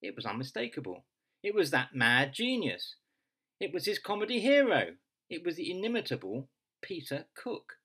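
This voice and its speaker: A man in his sixties reads a story as its author. His voice is bright and slightly high, with expressive, widely moving intonation. He is recorded in a small room at arm's length from the mic. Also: normal speed, slightly rough. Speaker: author reading from his book. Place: small bedroom, indoors.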